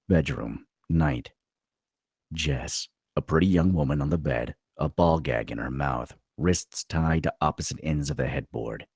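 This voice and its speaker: A man narrating a screenplay at a table read, with a gravelly, husky voice. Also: extremely low pitch, a little mellow, dry recording, studio quality.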